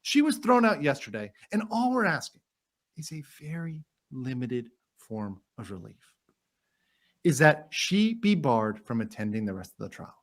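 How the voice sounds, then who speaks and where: A man's voice: on the high side, sing-song, moderate pace. A man doing a dramatic reading as an indignant, courteous trial lawyer, formal and emphatic as he argues to a judge. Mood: outraged.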